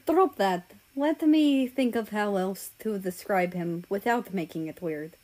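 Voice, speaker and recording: Woman reading as an earnest, rambling teenage boy, unhurried. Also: mid-range voice, darkish tone, lightly acted. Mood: earnest, embarrassed. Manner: hesitant, rambling.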